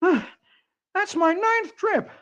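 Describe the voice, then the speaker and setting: A man's voice: slow, fairly bright tone, full character voice. A man voicing a flustered, grumbling comic-strip husband in an exclamatory 1940s radio style, on an old muffled recording. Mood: exasperated. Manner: exclamatory, high-strung.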